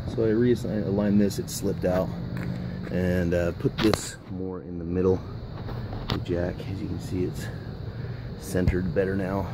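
A man with a deep voice explains his trailer fix step by step while he works outside. He talks casually and thinks aloud with plenty of fillers. The handheld camera mic picks up clear outdoor noise around him. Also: steady tone, unhurried. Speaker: DIY vlogger. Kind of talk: casual how-to narration. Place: driveway outdoors beside a house. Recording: noisy outdoor camera audio.

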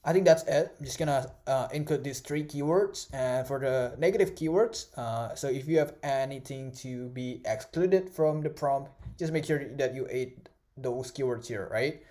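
A man whose first language is Indonesian, teaching a screen-recorded course in a relaxed way with many fillers and "right?" tags. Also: mid-range voice, moderate pace. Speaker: course instructor. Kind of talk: casual screen-recorded tutorial. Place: at a computer, voice-over of screen recording.

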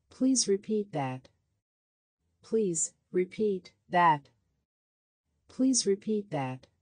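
A woman slowly and carefully saying short English phrases for learners, repeating each one. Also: fairly low pitch, dry recording. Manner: slow, careful, clearly enunciated repetition. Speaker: language lesson voice.

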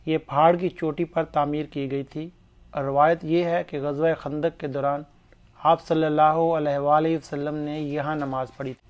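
Male narrator for religious history, slightly high-pitched with a soft, mellow tone, formal and earnest delivery. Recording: dull, muffled lo-fi voice-over.

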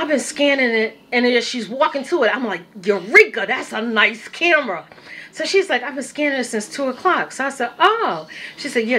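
A woman vlogging at home off camera, telling a shopping story in a casual, animated way and acting out the dialogue, her voice going squeaky when she gets upset. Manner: animated, acts out quoted dialogue.

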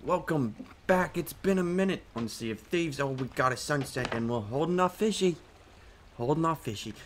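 A man with a put-on British accent, giving casual, excitable commentary over his own gameplay and swearing as he reacts. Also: fairly high pitch, moderate pace, a little hiss or hum. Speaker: gaming channel host.

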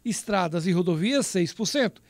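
A male TV news reporter reading a survey report in a measured voice-over, with a Brazilian Portuguese accent. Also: high voice, animated, dry recording. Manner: measured, reads out figures crisply.

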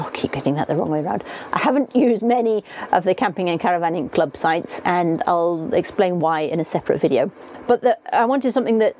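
A woman's voice: lowish voice, dark tone, slight background noise. A woman in her forties chatting to camera inside a small caravan, rambling and correcting herself as she goes, with rain clattering on the roof. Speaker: caravanning vlogger. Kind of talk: casual talk to camera. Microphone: camera across the table. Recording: dull lo-fi sound with faint rain.

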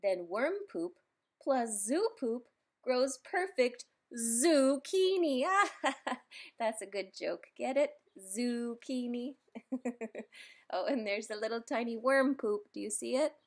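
A woman reads a picture book aloud for small children. Her voice sits fairly high and she takes her time, going very slowly through the words. She is playful and expressive, with simple chatty asides aimed at young listeners. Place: indoors in a room at home.

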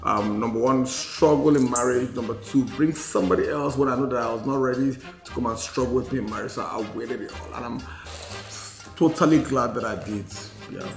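A man's voice: medium pitch, brisk. A man in his forties with a Nigerian accent tells his personal story candidly on a podcast, reflectively, repeating phrases for emphasis. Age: 40s. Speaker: podcast co-host. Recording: roomy sound with faint music. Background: faint music.

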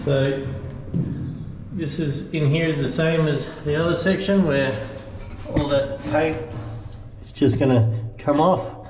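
A man's voice: fairly high pitch, fairly even intonation, slow, long pauses. A man in his thirties giving a casual, plain-spoken walk-through of repair work, thinking aloud as he goes. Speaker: boat restorer and vlogger. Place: inside a bare steel boat hull under restoration. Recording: echoey metal room, background noise.

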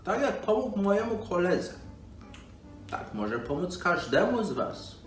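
An excitable, folksy old storyteller played by a man tells children a tale with halting, repeated exclamations. The voice is high and leaps about widely, recorded in an echoey room with music behind. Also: distant mic. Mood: gentle, advising. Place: living room by a fireplace. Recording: roomy, off-mic, music behind.

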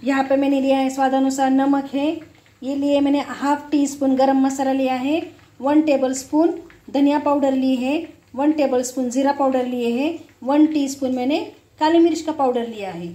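A woman's voice: high voice, steady tone, moderate pace, warm tone. A woman hosting a casual home recipe demonstration, explaining each step simply in instructional fashion. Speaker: home cook, cooking show host. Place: home kitchen, indoors. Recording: echoey room.

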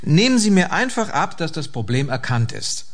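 A man's voice: a little high, very animated, moderate pace. An actor playing a confident fixer reporting to his boss in a radio play, assured and matter-of-fact. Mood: confident, formal. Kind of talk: German radio play acting.

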